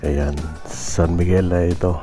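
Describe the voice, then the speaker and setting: A man giving chatty, unhurried commentary while riding a scooter outdoors on a road. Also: low voice, steady tone, warm tone, soft background music. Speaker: motovlogger. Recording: wind and traffic noise.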